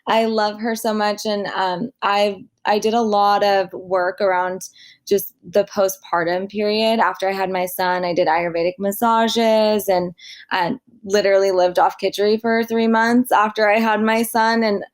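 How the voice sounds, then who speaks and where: A woman's voice: medium pitch, steady tone, a little slow. A woman hosting a podcast, chatting casually and gushing with enthusiasm, rambling with many fillers. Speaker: podcast host. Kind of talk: casual interview chat.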